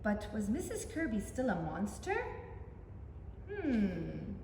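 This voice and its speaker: A woman with a high, very lively voice reads a picture book to young children. She takes her time and puts questions to her listeners. The room is echoey, with a little background noise. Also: slow. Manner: animated, asks listeners questions. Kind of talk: picture book read-aloud.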